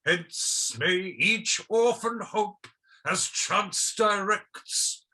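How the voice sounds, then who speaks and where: A performer acts out a pompous Justice from a mock-heroic stage play. The delivery is very slow and grandiose, in a bright but husky voice. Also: mid-range voice, heavily acted. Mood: grandly revelatory. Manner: grandiose, declamatory. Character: pompous Justice, long-lost parent in a mock tragedy.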